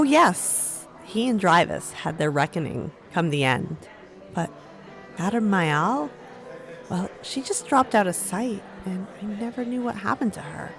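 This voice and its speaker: A woman acting a brave, defiant young runaway turned gang member, spirited and determined, in a fantasy audio drama. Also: mid-range voice. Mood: storytelling.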